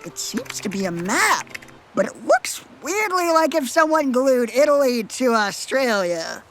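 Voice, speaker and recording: Woman voicing a high, brisk, brainy kid. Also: big pitch swings, slight background noise, low-quality recording. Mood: analytical. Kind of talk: comedic animated parody voice acting.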